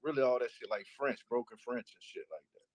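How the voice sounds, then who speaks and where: Guy on a live stream, talks fast, lots of "you know" fillers, easygoing. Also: medium pitch, darkish tone, rough recording. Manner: fast, filler-heavy talk, keeps saying "you know". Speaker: livestream guest. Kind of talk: casual live chat.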